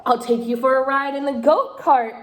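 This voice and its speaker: A woman voicing a gentle little girl who talks in a tired, pleading way, recorded in an echoey room. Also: sits high, moderate pace, close to an everyday voice. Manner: pleading and plaintive.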